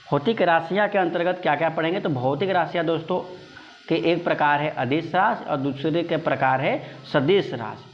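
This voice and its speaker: A man teaching an online exam coaching lesson, explaining to students emphatically and repeating phrases for stress, on a dull lo-fi recording. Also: a little high.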